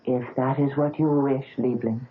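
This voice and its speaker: A woman's voice: a bass voice, fairly even intonation, moderate pace, a little hiss or hum. An actress playing a devoted young woman, the doctor's daughter and fiancée, breathless and affectionate in a 1970s radio drama, on a tinny lo-fi broadcast. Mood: tender.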